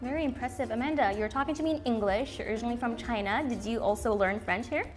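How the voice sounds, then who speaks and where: A woman whose first language is Arabic, hosting a talk show with enthusiastic, animated energy. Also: on the high side, quick pace, soft background music. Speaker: host.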